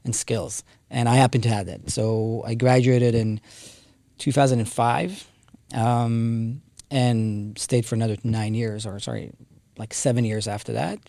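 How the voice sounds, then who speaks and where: A man's voice: medium pitch, fairly even intonation, measured pace, gravelly, mellow, no room sound. A man, telling his story as a guest with many fillers and restarts. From Israel. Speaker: guest, company co-founder. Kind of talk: casual interview talk.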